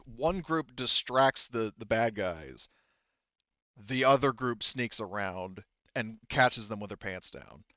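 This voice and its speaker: A man's voice: mid-range voice, a bit bright, no echo. Man, game master, casual explanatory talk, emphatic delivery, dry sound, slightly dull audio. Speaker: game master of a tabletop roleplaying game.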